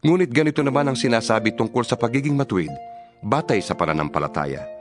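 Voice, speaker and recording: A man's voice: medium pitch, expressive, fast, faint music behind. A man reading scripture as narrator of a dramatized Bible, in an earnest, rhetorical preaching delivery.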